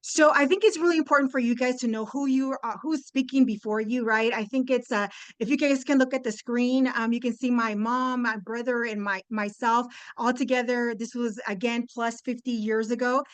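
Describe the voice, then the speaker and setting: A woman's voice: on the high side, steady tone, normal speed, hardly any pauses, dry recording. A woman in her fifties whose first language is Spanish, giving a chatty, upbeat webinar talk full of fillers and restarts. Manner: upbeat, chatty, lots of fillers and restarts, addresses "you guys". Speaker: guest speaker. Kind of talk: webinar presentation. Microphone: video call.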